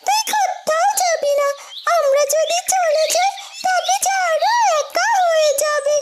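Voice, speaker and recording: Tiny squeaky, plaintive cartoon bird. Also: female voice, slow. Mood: pleading resolve. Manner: plaintive, earnest. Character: small, guilt-ridden, loyal golden bird. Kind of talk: cartoon character acting.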